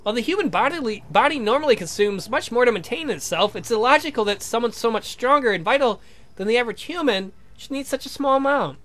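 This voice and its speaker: A man's voice: extremely high pitch, expressive, quick pace, a bit bright, pitched above the performer's own voice. A man voicing an eager, polite young scientist in a visual novel, speaking in a precise, inquisitive, formal way. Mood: intrigued.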